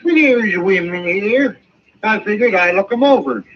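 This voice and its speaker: An actor playing a blunt, simple rustic widower looking for a wife in an old western drama, with folksy plain speech. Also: male voice, high voice, expressive, unhurried. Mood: matter-of-fact. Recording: dull lo-fi old broadcast, faint noise.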